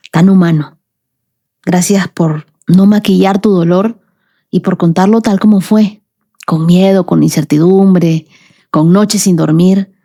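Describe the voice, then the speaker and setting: Woman, podcast host, dark mellow timbre, mid-pitched, tender emphatic phrasing, devotional talk.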